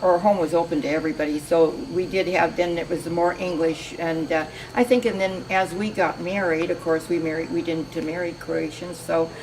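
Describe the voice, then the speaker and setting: Older woman interviewee, lowish voice, chatty and rambling, faint background hiss. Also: steady tone, moderate pace, few pauses, darkish tone, poor audio. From Seattle, USA. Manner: chatty, meandering, many restarts. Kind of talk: reminiscing interview talk.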